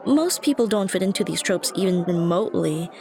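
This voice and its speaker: A woman's voice: on the low side, expressive, brisk, a little mellow. A woman playing a shy, anxious young stranger who rambles hesitantly and self-consciously to the listener in an ASMR roleplay. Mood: anxious, rambling. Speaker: roleplay voice actress. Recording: busy cafe chatter behind voice. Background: coffee shop crowd murmur.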